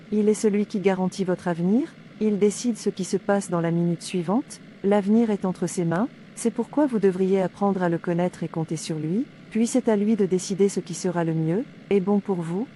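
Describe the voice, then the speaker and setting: A woman voicing a pious, calm young woman in a cartoon drama, preachy and stilted. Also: mid-range voice, a little hiss or hum, natural voice.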